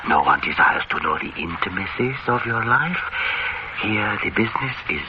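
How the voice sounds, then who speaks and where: A smooth, secretive restaurateur purrs his welcome in a deep, lilting voice that rises and falls widely. He lingers over his words with oily courtesy. The sound is a muffled old radio recording, buried in hiss. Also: sing-song. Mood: silky. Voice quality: smooth, ingratiating, drawn-out phrasing. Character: suave older male restaurant owner, smoothly secretive. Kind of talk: vintage radio drama acting.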